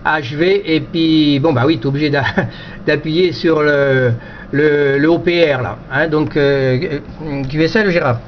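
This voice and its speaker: A man's voice: on the high side, steady tone, measured pace. A man operating an amateur radio, explaining technical things casually in choppy, repeated phrases. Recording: slightly dull, faintly noisy radio chat recording.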